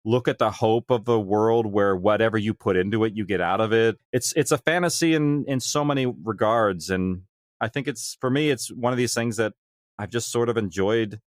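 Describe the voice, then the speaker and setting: A man's voice: lowish voice, moderate pace, no echo, studio quality. A man speaking as a podcast guest about his creative work, earnest, reflective and discursive. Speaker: audio drama creator, interview guest. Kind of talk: reflective podcast interview talk.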